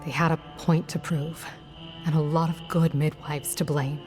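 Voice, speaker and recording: A woman playing a guarded, wry, world-weary protector of her people in a video game, with a dry and measured delivery. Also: low voice, flat delivery, darkish tone, faint music behind, natural voice. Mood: wry, softening.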